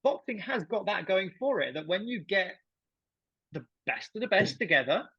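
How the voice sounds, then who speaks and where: A man with a husky voice chatting casually on a sports podcast, emphatic and often repeating his phrases. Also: high-pitched, very animated, moderate pace, dry recording, muffled recording. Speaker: podcast guest.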